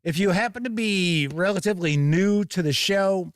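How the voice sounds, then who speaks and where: A man hosting a casual live tabletop gaming stream, a little raspy and hoarse from being under the weather, talking in a folksy, upbeat way. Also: high-pitched, normal speed, no echo, clean studio sound. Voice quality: slightly raspy. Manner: upbeat, folksy greetings, chatty. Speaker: host. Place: home studio.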